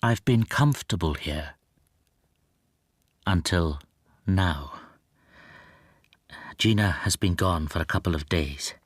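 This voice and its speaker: A man's voice: low voice, lively intonation, slow pace, pauses often, husky, no room sound, studio quality, exaggerated voice. A male actor playing an anxious, timid shopkeeper in a radio drama, speaking in a hesitant, confiding and introspective way. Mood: reflective. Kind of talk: radio drama monologue and dialogue.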